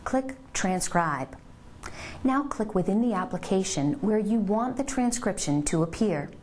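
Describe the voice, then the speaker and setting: A woman reading scripted instructional narration for a software tutorial, giving clear step-by-step directions. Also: medium pitch, animated, a little slow.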